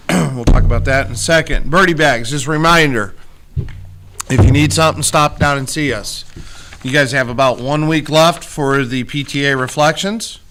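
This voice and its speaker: A man whose voice is starting to go hoarse gives informal school announcements, rallying listeners with check-in questions. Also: medium pitch, moderate pace, a bit bright. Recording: clearly noisy background.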